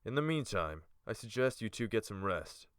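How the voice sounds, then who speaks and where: A man playing a calm, authoritative guild-leader mage in a fantasy audiobook, speaking in a measured, smooth voice. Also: on the low side, dry recording, studio quality. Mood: welcoming, instructive.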